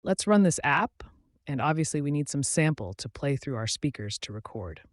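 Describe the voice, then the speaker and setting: A man with a slightly high voice delivers scripted tutorial narration in a measured, instructional manner. The sound is dry and close, as a voice-over recorded right on the mic. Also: very animated, dry recording. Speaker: tutorial host.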